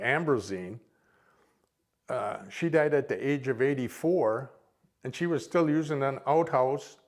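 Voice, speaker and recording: An older man with a Minnesota accent, informally reminiscing to an audience as a veteran in a rambling, folksy way. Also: mid-range voice. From Minnesota, United States. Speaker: military veteran, guest speaker.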